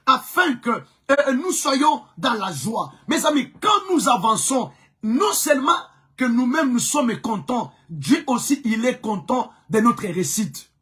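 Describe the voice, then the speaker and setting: A man preaching a sermon with a Congolese French accent, whose first language is Chokwe. He preaches fervently, often shouting and repeating phrases for emphasis. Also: extremely high pitch, big pitch swings, measured pace, husky. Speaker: pastor. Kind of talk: live-streamed preaching sermon.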